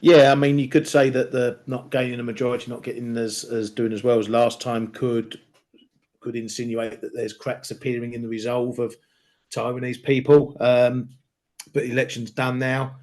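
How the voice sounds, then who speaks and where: A man's voice: medium pitch, level tone, normal speed, darkish tone. A man, a market analyst, discussing the news and economic data in a casual, hesitant way with many fillers.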